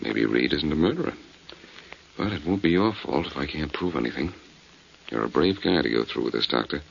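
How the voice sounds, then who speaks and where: An actor playing a cool, quick-witted, wry male detective with clipped delivery in a 1940s radio drama. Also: very deep voice, animated, creaky, slight background noise, full character voice. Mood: low, wary. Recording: dull 1940s radio recording.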